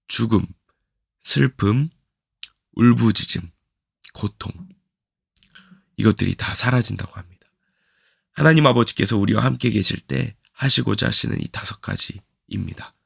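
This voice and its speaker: A man, a pastor, giving a slow, earnest devotional sermon, his voice hoarse from a cold. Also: fairly low pitch, dry recording, studio quality. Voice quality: gravelly. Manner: slow, earnest preaching with repeated reflective questions.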